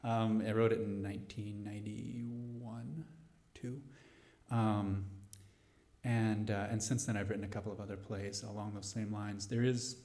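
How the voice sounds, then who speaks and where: Low-voiced man, thoughtful and halting. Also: level tone, darkish tone. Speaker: playwright answering audience questions. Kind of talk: post-show question and answer talk. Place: live radio play venue before a studio audience.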